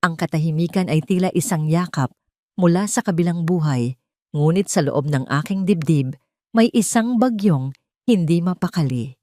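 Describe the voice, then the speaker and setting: A woman narrates a dramatic first-person story. Her voice sits on the low side, and the recording is close and dry, with little room sound. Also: lively intonation, moderate pace, mellow, no room sound.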